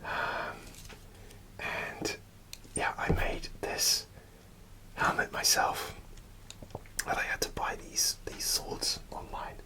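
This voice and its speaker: A man whispering an ASMR show-and-tell in a soft, unvoiced whisper, hesitant and full of small fillers, partly from inside a costume helmet. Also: takes it slowly, poor audio. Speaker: ASMR creator.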